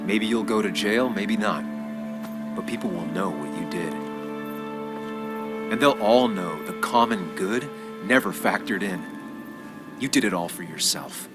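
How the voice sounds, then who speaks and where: An actor playing a determined, righteous investigative journalist in a video game, terse, restrained and intense. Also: male voice, low voice. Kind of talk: video game dramatic acting.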